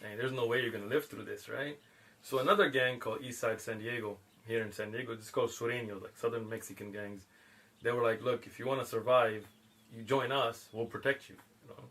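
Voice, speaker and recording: A man's voice: medium pitch, fairly even intonation, brisk, fairly bright tone. A middle-aged man, born in Pakistan, telling stories in a casual chat and laughing often. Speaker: guest. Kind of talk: casual storytelling.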